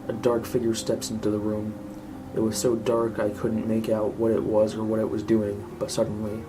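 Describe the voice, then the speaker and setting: A man reading a scripted horror story aloud as narrator. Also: fairly low pitch. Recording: clearly noisy home recording.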